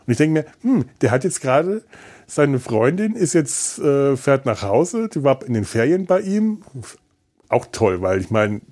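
Man, podcast host, dark relaxed tone, slightly rough husky voice, hesitant solo rambling, wry. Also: medium pitch, unhurried. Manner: hesitant, thinking aloud. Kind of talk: casual rambling solo monologue. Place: indoors on a sofa.

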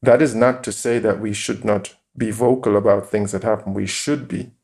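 A man as a podcast guest, calmly explaining his view with examples and rhetorical questions. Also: fairly low pitch, normal speed. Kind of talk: interview conversation.

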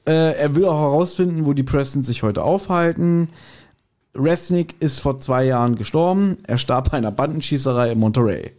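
A man's voice: on the high side, lively intonation, normal speed, a little mellow. A man co-hosting a podcast, recapping an audio drama in a casual, colloquial way. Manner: colloquial, acts out quotes animatedly. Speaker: podcast co-host.

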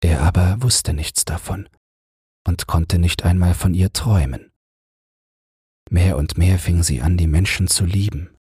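A man narrating an audiobook fairy tale for bedtime in a soft, breathy voice, soothing and measured. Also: low-pitched, no room sound, studio quality. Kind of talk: calm bedtime story narration.

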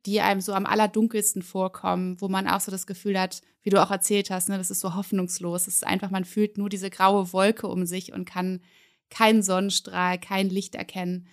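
A woman's voice at a middle pitch, hosting a podcast interview. She asks long, gently leading questions and answers with encouraging remarks. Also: level tone, brisk. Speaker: podcast host.

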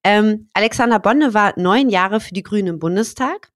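A woman's voice: mid-range voice, normal speed, no echo, studio quality. A woman co-hosting a podcast in a chatty, joking way, with a slight cold. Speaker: podcast co-host. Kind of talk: casual podcast chat.

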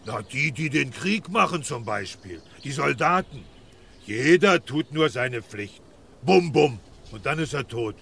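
A big naive elephant with a bright, gravelly voice, earnest and insistent. He speaks in plain, simple words in a noisy old children's radio play, with birds in the background. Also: mid-range voice, expressive, measured pace. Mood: earnest, reproachful. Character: gentle, earnest cartoon elephant protester, a big naive pacifist.

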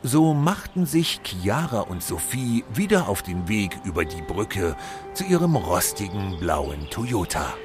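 A man narrating a scripted fairy-tale audio play as a solemn, expressive storyteller. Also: lowish voice, unhurried, over music. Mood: solemn, lyrical.

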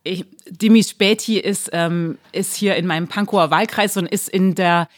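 A woman politician answering interview questions in a measured, explanatory way, pausing often with fillers. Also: lowish voice, steady tone. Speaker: politician running for a district seat.

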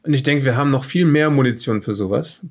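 A man's voice: medium pitch, quick pace. A man co-hosting a podcast, chatting casually. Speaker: podcast host. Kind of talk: casual chat.

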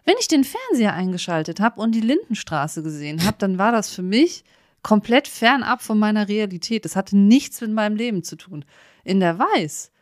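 A woman co-hosting a podcast, telling stories in an animated, giggly way in casual, humorous chat. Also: mid-range voice, normal speed, no room sound, studio quality. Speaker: podcast co-host.

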